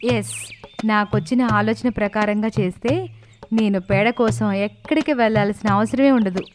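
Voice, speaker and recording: A woman voicing an excitable, resourceful young village daughter-in-law in a cartoon moral story, speaking emphatically. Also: medium pitch, normal speed, slight background noise, lightly acted. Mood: scheming. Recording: music clearly behind the voice.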